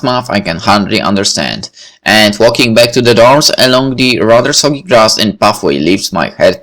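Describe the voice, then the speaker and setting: A man's voice: medium pitch, fairly bright tone. A young man reads a visual novel aloud with casual commentary, stumbling over words now and then. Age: young adult. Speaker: let's play host.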